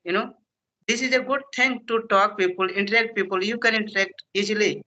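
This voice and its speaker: High bright-voiced Indian man, halting English. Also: fairly even intonation. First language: Hindi. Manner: halting, broken English with many fillers. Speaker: English learner guest. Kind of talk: casual conversation practice. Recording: dull lo-fi recording.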